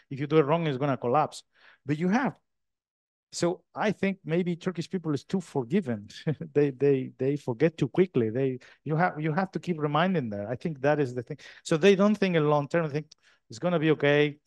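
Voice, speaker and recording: Chilean guy with spanish accent fielding q&a after his talk, lots of restarts, dry close mic, middle pitch. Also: a little mellow, no room sound. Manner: halting, many restarts, non-native phrasing. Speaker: lecturer in structural design. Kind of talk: answering audience questions at a talk.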